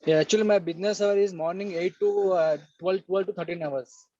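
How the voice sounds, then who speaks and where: Hesitant high-voiced Indian man, muffled audio. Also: fairly even intonation, darkish tone, dry recording. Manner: hesitant, halting, deferential. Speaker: participant asking a spiritual question. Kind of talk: unscripted question on an online call. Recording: dull, dry online call audio.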